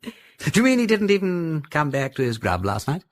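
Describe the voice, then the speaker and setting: An actor playing a jovial, chatty, deceptively silly aristocratic detective with an upper-class English accent in a radio drama. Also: male voice, a little high, big pitch swings, brisk. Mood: jovial, probing. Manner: breezy, rambling, repeats phrases for emphasis.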